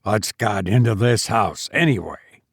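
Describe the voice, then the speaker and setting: A man voicing a stubborn, short-tempered husband and father in a storybook, growling roughly and with emphasis. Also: fairly low pitch, animated, measured pace, no room sound, studio quality, full character voice. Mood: resentful.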